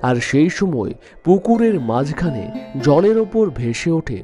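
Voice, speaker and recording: A man's voice: on the high side, sing-song, unhurried, music in the background. A man narrating a scripted story in a dramatic storytelling style.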